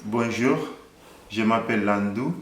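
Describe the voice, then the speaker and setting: A man in his thirties from Angola, a Portuguese speaker, calmly and earnestly sharing his faith story in an interview. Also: on the low side, measured pace, roomy sound. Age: 30s. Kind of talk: personal faith testimony.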